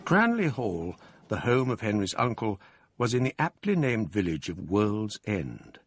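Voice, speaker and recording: An actor narrating as a scholar recalling his youth, measured, wry and reflective. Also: fairly low pitch, sing-song, background noise. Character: older male scholar narrating his past. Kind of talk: radio drama narration.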